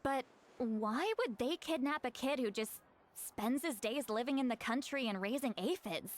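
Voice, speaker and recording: A woman's voice: high voice, normal speed, natural voice. Actress playing a solemn young shaman woman. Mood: concerned. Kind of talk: scripted video game voice acting.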